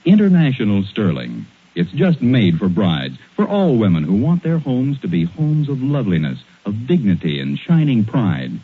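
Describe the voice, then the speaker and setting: American male announcer, 1940s radio commercial style, husky, sweeping intonation, emphatic, tinny recording. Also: on the low side, wildly swooping pitch, moderate pace, hardly any pauses.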